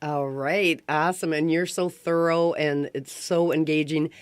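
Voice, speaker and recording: A woman's voice: low voice, fairly even intonation, measured pace, dry recording, clean studio sound. A woman hosting a casual radio interview, talking in an enthusiastic, effusive way. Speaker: radio talk show host. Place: radio station studio.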